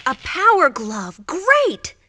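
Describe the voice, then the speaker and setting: Spirited high-voiced young cartoon princess. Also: female voice, huge pitch swings, slow pace, full character voice. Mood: determined. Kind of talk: animated game cutscene acting. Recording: loud music under the voice.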